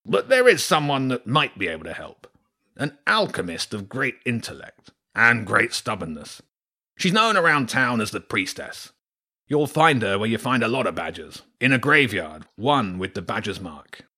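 A man voicing a huge, grumpy, lazy old badger king in a storybook reading, speaking slowly and gruffly with a husky voice. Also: mid-range voice, very animated, pushed and bright, heavily acted. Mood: grave. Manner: slow, grumbling, gruff.

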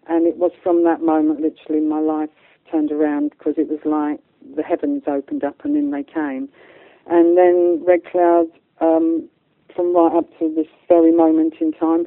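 A woman's voice: fairly low pitch, dry recording. Female interview guest, middle-aged or older, colloquial storyteller, narrow telephone-style sound. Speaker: spiritual medium, interview guest. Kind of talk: conversational life storytelling in a radio interview. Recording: thin phone-like band-limited line.

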